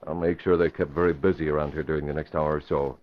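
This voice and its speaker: An American man playing a calm, decisive publisher and secret vigilante in an old-time radio mystery, measured and commanding. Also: extremely low pitch. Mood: calm, scheming. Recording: dull vintage radio recording.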